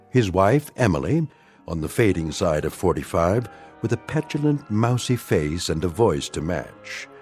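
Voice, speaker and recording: An ominous radio drama narrator with a deep voice. He is measured, wry and portentous, moving his pitch expressively over faint music. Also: a little mellow, full character voice. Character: ominous, grave, omniscient male storyteller.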